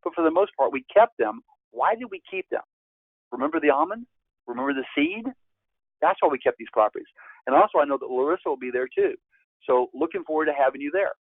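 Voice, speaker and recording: A man in his fifties presents a real estate course in an emphatic teaching manner, his pitch jumping up on key points. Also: animated, fairly quick, dry recording. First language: Spanish. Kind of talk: webinar presentation. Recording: tinny phone-like line, dry.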